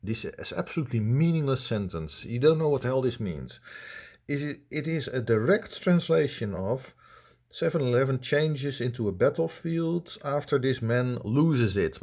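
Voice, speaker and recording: A man with a Dutch accent giving unscripted critical commentary in a halting, blunt, complaining way. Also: mid-range voice, a little mellow. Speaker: video reviewer.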